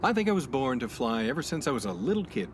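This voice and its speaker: A man's voice: medium pitch, sing-song, fast, slight background noise, natural voice. An actor playing a brash, overconfident male airline passenger who talks in a smug, self-assured way. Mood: breezy. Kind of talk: community radio play acting.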